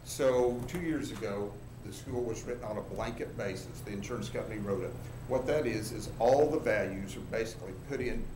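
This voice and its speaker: A man's voice: on the low side, a little fast, hardly any pauses. A man presenting as an insurance agent at a public meeting, explaining plainly step by step. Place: large indoor board room, standing before the board. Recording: echoey noisy hall, room microphone.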